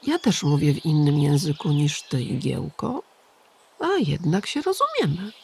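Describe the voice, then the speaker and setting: A weary, kind old grey pigeon in a storybook, speaking slowly and gently to comfort a young child, as a woman puts him on. The voice is low and a little gravelly. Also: a little hiss or hum, full character voice. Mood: reassuring. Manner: calm, gentle and reassuring.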